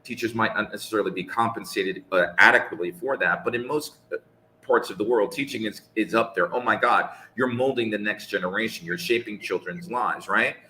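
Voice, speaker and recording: A man speaking in a casual live conversation, measured and emphatic, making points with rhetorical questions. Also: fairly low pitch, pushed and bright, roomy sound, a little hiss or hum, rough recording.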